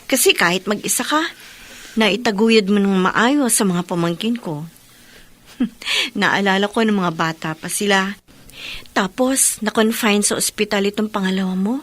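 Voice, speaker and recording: An actress with a Filipino accent playing a playful, admiring younger sister in a radio drama, bubbly, teasing and affectionate. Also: female voice, mid-range voice, a little slow, fairly bright tone. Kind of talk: Tagalog radio drama acting.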